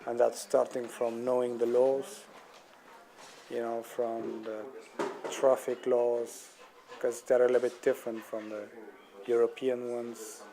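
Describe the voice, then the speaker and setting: A man from Romania with a Romanian accent, a charity volunteer speaking haltingly about his life in the UK. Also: mid-range voice, little pitch movement, mellow, rough recording. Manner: halting, searching for words. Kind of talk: documentary testimony.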